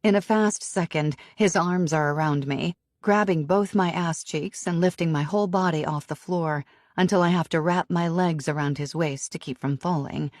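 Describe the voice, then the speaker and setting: Fields. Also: female voice, lowish voice, normal speed, few pauses, darkish tone, no room sound, studio quality. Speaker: audiobook narrator. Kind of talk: first-person novel narration.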